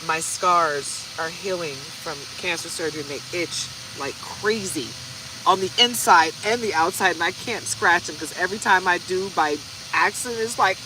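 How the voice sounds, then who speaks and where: A woman's voice: lowish voice, moderate pace, background noise. A woman in her forties chatting casually to her viewers while she reads tarot cards. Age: 40s. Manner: chatty, talks straight to viewers. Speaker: tarot card reader. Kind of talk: casual tarot reading vlog.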